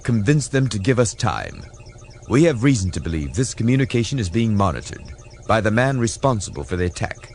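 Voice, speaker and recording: An urgent starship captain, as a male narrator plays him, decisive and earnest. The pitch swings up from a low base as he gives clipped orders in a science fiction audiobook, over faint background noise. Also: sing-song, fairly quick, a little mellow. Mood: pleading, earnest.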